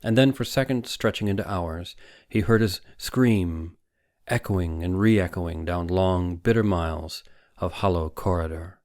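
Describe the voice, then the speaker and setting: A man's voice: low-pitched, unhurried, a little mellow, no echo, clean studio sound. A man reading scripted fiction as an audiobook narrator, in a dramatic storytelling style.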